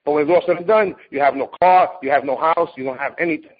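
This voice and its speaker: Blunt, husky man with a higher voice. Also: quick pace. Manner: emphatic, blunt, preachy. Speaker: martial arts competitor and teacher. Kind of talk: interview, storytelling monologue. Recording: dull lo-fi recording.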